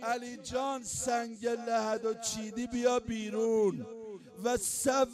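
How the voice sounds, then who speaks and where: A man's voice: very high-pitched, steady tone, over music. A male religious eulogist delivering a chanted mourning sermon, emotional and wailing, sliding into half-sung lament.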